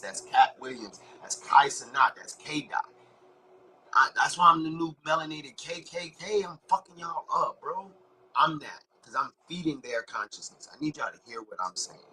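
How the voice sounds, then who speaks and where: Forceful, slangy man ranting to camera. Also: a little hiss or hum, low-quality recording. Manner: profane, slangy and emphatic, repeats "bro" and "right?"; swings between loud outbursts and low whispered asides. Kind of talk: casual rambling monologue.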